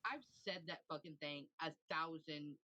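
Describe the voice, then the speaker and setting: A man co-hosting a casual livestream, projecting his voice and talking at length when excited. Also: normal speed. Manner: says he projects, bassy and animated when excited. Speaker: stream co-host. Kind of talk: casual group chat.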